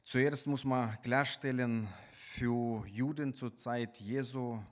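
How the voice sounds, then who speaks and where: A man from Ukraine with a Ukrainian accent, preaching in a halting, deliberate manner with pauses mid-sentence. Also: medium pitch, fairly even intonation. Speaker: guest preacher. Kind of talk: sermon with scripture reading.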